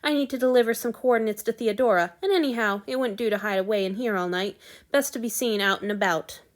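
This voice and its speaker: A woman's voice: on the high side, fast talker, natural voice. A woman voicing a brusque, grumbling male god in a storybook audiobook. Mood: brisk. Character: gruff male god, lord of the gods, brusque but tender.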